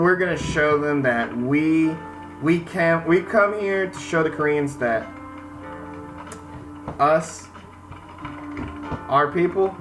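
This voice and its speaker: A man's voice: a little high, rough recording. An American man hosting a gaming video, mixing casual commentary with reading game text aloud, informal and prone to stammering and repeating words. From United States. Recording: music behind the voice.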